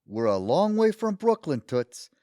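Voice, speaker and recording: A man acts out an older New suitor in a radio-play comedy. His pitch leaps all over the place as he talks in a folksy, enthusiastic way. The sound is close and dry. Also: big pitch swings, measured pace, dark tone, no echo. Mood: cheerful, reassuring. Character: eager, sweet, older Brooklyn man wooing his date. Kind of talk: audio theatre comedy acting.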